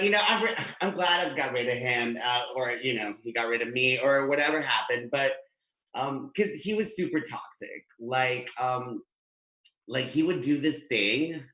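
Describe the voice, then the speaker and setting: Animated male stand-up on a webcam; bright tone, roomy echo. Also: mid-range voice. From Guatemala. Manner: chatty, animated, gesturing. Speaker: stand-up comedian. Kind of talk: stand-up set. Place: home room. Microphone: webcam, video call. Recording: echoey home room.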